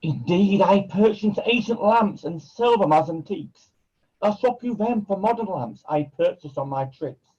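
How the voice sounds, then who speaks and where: A man's voice: sits high, lively intonation, moderate pace, mellow, husky, lo-fi sound. A man playing a scheming, bullying sorcerer in a pantomime, commanding and melodramatic. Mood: wheedling, salesman-like. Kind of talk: pantomime villain acting.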